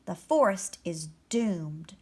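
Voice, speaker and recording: A woman voicing an alarmed, insistent male hawk in a storybook, speaking gravely and emphatically. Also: fairly low pitch, expressive, slow pace, warm tone, voice pushed down, full character voice.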